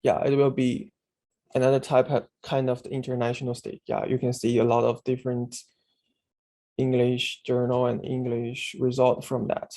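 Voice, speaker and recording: A man giving a screen-share tutorial on searching a database, speaking haltingly with many fillers and restarts. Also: mid-range voice, completely flat delivery, mellow. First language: Chinese. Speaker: instructor demonstrating a database.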